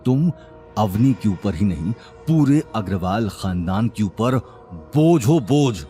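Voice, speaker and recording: A man's voice: fairly low pitch, very animated, warm tone, soft background music. Man acting an arrogant, sneering young bridegroom. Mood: mocking, contemptuous. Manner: mocking, boastful, drawn-out taunts. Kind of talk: Hindi audio drama acting.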